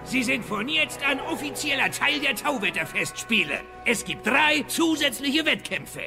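A bossy, sardonic Viking trainer in a cartoon radio play. The voice is gravelly and strikingly high, barking out instructions with big swings over music. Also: male voice, expressive, moderate pace, heavily acted. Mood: brash, announcing. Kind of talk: animated-series radio play acting.

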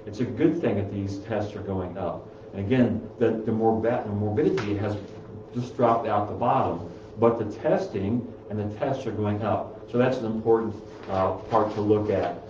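A man's voice: fairly low pitch, normal speed, darkish tone, a little hiss or hum. A middle-aged man hosting a health talk in a small office, speaking casually from printouts with many fillers and restarts. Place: small office room, indoors. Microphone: far camera. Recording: roomy, distant, dull sound.

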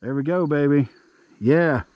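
A man chatting casually and excitably with a friend while fishing, playful, salty-tongued and apt to talk to the fish. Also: on the high side, measured pace. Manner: salty, swearing. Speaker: angler filming his own fishing outing. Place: outdoors on a boat on a lake. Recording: muffled lo-fi camera audio with outdoor noise.

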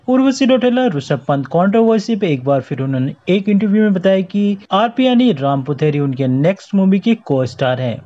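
An Indian man hosts a news roundup in a fairly high, very animated voice. He reads from a script and mixes in English phrases. The tone is mellow and the recording a little dull. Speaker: host of a YouTube news roundup.

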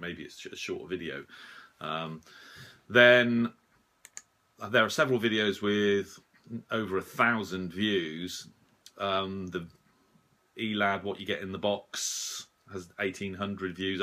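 A man in his forties giving a casual, modest, hesitant update to camera in a small room, sounding slightly rough and husky after a late night. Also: low voice, sharp tone. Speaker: shortwave radio hobbyist and video host.

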